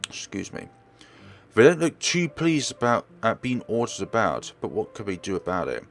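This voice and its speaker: A man's voice: on the low side, big pitch swings, a little slow, gravelly, pauses often, soft background music. A man reading a visual novel aloud as a let's player, narrating expressively and sometimes stumbling and correcting himself.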